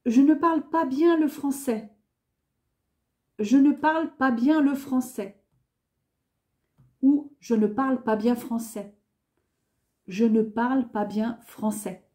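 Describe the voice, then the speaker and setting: A woman teaching a beginner French lesson, saying each useful phrase twice with careful, clear enunciation. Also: high-pitched, slow, pauses often, darkish tone. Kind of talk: beginner language lesson, repeating phrases slowly.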